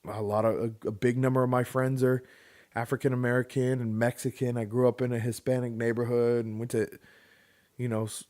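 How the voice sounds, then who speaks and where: A man's voice: mid-range voice, a little fast. Brief: man, late 30s, casual podcast co-host, rambling storyteller, mid-range voice. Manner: rambling, animated, acts out quoted people.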